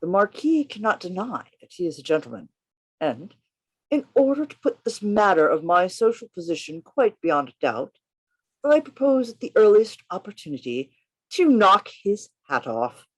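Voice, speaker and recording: A bold gentleman adventurer played by a woman tells his tale as in a radio play. The delivery is theatrical and emphatic, with a very lively pitch that swings around a middle register. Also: moderate pace, breathy edge, rough recording. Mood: scheming. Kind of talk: radio play reading, first-person narration. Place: remote reading over a video call.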